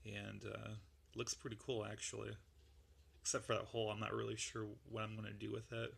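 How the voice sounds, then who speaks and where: A man with a gravelly voice that is going, casually rambling through a show-and-tell of a model build. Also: fairly low pitch, completely flat delivery, fairly quick, fairly bright tone. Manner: rambling, laid-back, lots of fillers. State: losing his voice.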